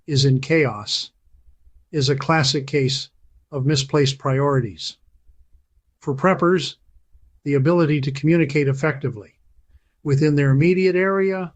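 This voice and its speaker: A man narrates a scripted voice-over with a slightly raised pitch. His delivery is emphatic and persuasive, stretching out key phrases for weight. The sound is dry and close, with no room around it. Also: no room sound. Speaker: channel host.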